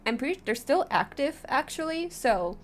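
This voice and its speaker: A woman's voice: high voice, sing-song, normal speed, faint music behind. A woman talking informally as a streamer teaching a tutorial, chatty and often checking in with her listeners. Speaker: streamer teaching how to make visual novels. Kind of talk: casual livestream tutorial talk.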